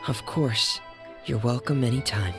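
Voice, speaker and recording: An actor voicing an earnest, grateful young man in a video game, speaking in a sincere, measured and formal way. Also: medium pitch, music in the background, close to an everyday voice. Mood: welcoming. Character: earnest, grateful young man devoted to his adoptive Father.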